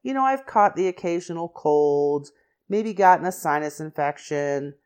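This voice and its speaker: A woman hosting her own podcast, chatting casually and rambling with asides, her voice a little raspy and congested from a recent flu. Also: low voice, expressive, normal speed, darkish tone. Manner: chatty and rambling, many "like" and "you know" asides. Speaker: podcast host.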